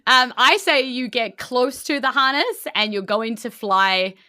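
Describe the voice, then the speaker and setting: Bright-voiced woman game master, close dry sound. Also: a little high, measured pace, no room sound. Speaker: game master of a tabletop RPG podcast. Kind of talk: improvised game narration.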